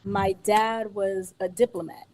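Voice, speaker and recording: A woman's voice: medium pitch, little pitch movement, a little slow, a little mellow. Playful New York-raised woman guest. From New York, United States. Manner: playful, laughs while telling stories, repeats punchlines. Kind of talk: casual radio interview.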